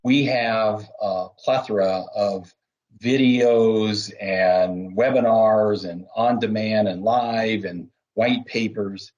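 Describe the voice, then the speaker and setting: A man giving explanatory interview answers as a business development manager, halting at times and laying out points one by one. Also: on the low side, steady tone, a little mellow, lo-fi sound.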